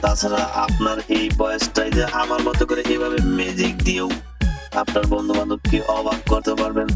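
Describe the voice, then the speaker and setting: Swooping musical magic voice. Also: male voice, high voice, wildly swooping pitch, fairly quick, over loud music. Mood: sing-song demo. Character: musical, tune-warped magic voice from a voice changer. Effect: melodic auto-tune voice changer.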